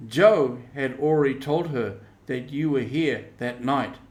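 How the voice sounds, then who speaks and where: A man's voice: mid-range voice, unhurried, a little mellow, reverberant, close to an everyday voice. A man voicing a stern, hurt elderly aunt in a storybook, emphatic and reproachful. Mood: hurt, scolding.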